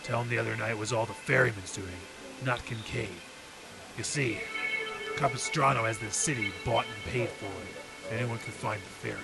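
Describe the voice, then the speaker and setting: A man's voice: medium pitch, normal speed, creaky, over loud music, close to an everyday voice, low-quality recording. An actor playing a scheming, hard-boiled undercover detective with slangy, emphatic tough-guy delivery in an old-time radio drama. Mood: scheming, intense.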